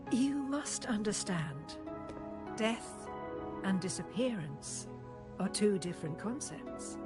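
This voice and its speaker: An actress playing a stern, composed high priestess in a video game, speaking in formal, measured, deliberate phrases. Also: female voice. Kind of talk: scripted video game voice acting. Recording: game music under the voice. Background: music.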